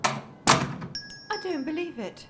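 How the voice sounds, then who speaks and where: Actress as very high-voiced, reproving support worker. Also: female voice, animated, drawn-out words, very noisy, heavily acted. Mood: alarmed, reproving. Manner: reproving, articulate, earnest. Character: exasperated female support worker, principled and reproving. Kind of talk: naturalistic radio drama acting.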